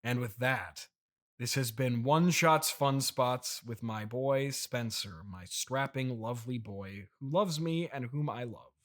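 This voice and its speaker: A man's voice: medium pitch, unhurried, natural voice. A man playing a doting, slightly unhinged trillionaire CEO father who rambles and placates, as host of an improvised comedy podcast. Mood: fond.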